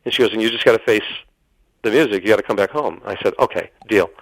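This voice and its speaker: A man's voice: on the low side, fast talker, no room sound, low-quality recording. A middle-aged American man telling dramatic anecdotes as an interview guest, quoting dialogue as he goes. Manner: dramatic storyteller, quotes dialogue.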